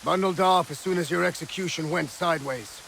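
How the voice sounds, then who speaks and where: An actor playing a gruff, blustering revolutionary army officer who barks orders bluntly. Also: male voice, a little high, a little fast, fairly bright tone, slight background noise. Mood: wry. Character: gruff blustering male revolutionary soldier, middle-aged.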